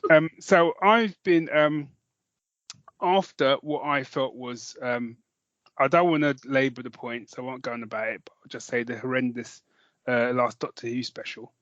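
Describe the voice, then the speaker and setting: A man's voice: medium pitch, fairly even intonation, moderate pace, a bit bright, no room sound, muffled recording. A man co-hosting a podcast in casual chat, jokey and self-mocking, with some swearing. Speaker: podcast co-host. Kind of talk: casual chat, recommending audio dramas.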